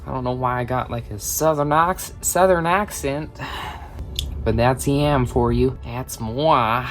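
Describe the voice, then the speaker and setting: A man's voice: medium pitch, unhurried. A young man in his late teens, a crochet vlogger, talking through a project in a chatty, rambling way that drifts off topic. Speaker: crochet vlogger and small shop owner. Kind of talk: casual crochet tutorial chat. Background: background music.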